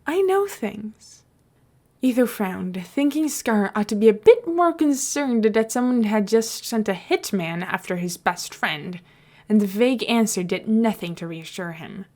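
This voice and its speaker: A woman's voice: mid-range voice, normal speed, a little mellow. A woman reading scripted fiction aloud as an audiobook narrator.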